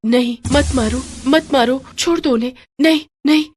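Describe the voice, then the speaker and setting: A woman voicing an innocent fifteen-year-old girl in an audio story, with an emotional, halting delivery. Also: sits high, a little hiss or hum, pitched above the performer's own voice, lightly acted. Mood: terrified pleading. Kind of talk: dramatic audio story acting.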